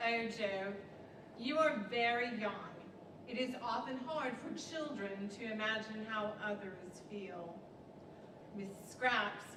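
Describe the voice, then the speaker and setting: A woman playing a regal princess, formal, measured and gently admonishing, in an old radio-play style. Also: fairly high pitch, lightly acted. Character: regal fairy princess ruler, gentle but reproving. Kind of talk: 1940s radio-style stage acting. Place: library stage, microphones on stands. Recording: echoey hall from far off, noisy.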